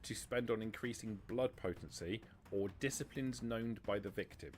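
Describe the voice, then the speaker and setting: A man's voice: fairly low pitch, moderate pace, darkish tone. A man running a tabletop roleplaying game as game master, patiently explaining rules and narrating scenes.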